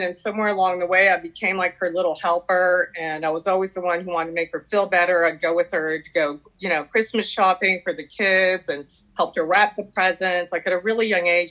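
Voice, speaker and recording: Candid, low-voiced woman sharing her story. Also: a bit bright. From Canada. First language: French. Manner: candid, confessional, reflective. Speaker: recovery group member sharing her story. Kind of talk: personal testimony at a support meeting. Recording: dull lo-fi recording.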